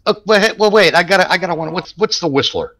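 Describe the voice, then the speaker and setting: A man's voice: sits high, expressive, fast talker, bright, forceful tone. A man in casual comic banter, wisecracking and swearing, often stammering over repeated words. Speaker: podcast panelist.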